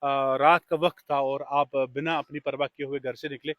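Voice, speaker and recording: A man's voice: medium pitch, level tone, normal speed, no room sound, dull audio. A man speaking formally and courteously as a radio host giving a tribute and interview on location. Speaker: radio host presenting an appreciation award.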